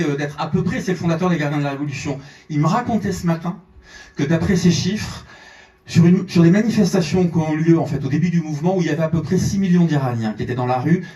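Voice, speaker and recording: A man's voice: fairly high pitch, mellow, recorded from a distance. Impassioned male speaker in an echoey hall. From France and Iran, French-Iranian. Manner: fervent, repeats words when pressed. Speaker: journalist speaking at a conference. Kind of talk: impassioned conference speech. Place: large theatre hall.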